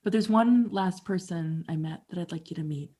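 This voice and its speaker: A Canadian-born woman in her fifties tells her own story on stage as a theatrical monologue. Her voice sits a little low for a woman. She speaks intimately and conversationally, and she lets dramatic pauses hang. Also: warm tone, slightly rough. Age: 50s. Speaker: storyteller and musician. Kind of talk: theatrical first-person monologue.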